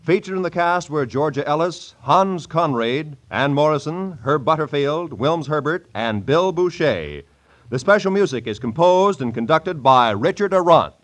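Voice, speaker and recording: A man's voice: on the high side, expressive, moderate pace. A male announcer reading scripted credits in a polished, formal 1940s radio style. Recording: vintage 1940s radio broadcast.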